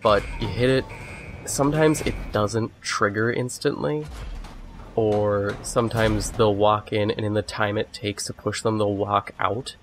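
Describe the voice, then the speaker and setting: Gamer guy with a head cold, low voice, casual rambling walkthrough talk, faint game noise behind. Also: unhurried. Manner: relaxed, rambling, with restarts. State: has a cold, sniffly. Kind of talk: casual gameplay commentary.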